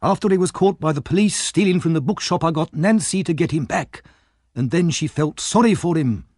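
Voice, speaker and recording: Man voicing a scheming gang boss, pitched high. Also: lively intonation, fairly quick, darkish tone, husky, dry recording, studio quality. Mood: self-justifying. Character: scheming older male gang boss, coaxing and self-excusing.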